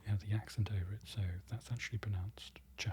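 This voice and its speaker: A presenter whispering an ASMR-style lesson, slowly repeating foreign words and correcting themselves. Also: low-pitched, steady tone, a little hiss or hum. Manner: whispering throughout, soft and close. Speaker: presenter learning Polish from a book.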